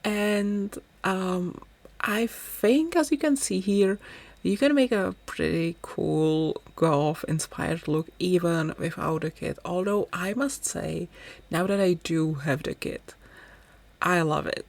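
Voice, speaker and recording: A woman's voice: lowish voice, slow, gravelly, a little mellow, no room sound. A young woman whose first language is Czech, chatting in a rambling, self-deprecating way as she talks over her gaming video. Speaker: gaming YouTuber. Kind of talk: casual voice-over commentary.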